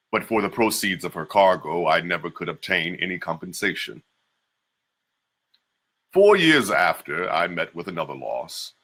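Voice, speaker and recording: A man giving a measured, deliberate dramatic reading of an old first-person memoir. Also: sits low, a bit bright, husky. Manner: measured, deliberate delivery with slowed emphases. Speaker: reader.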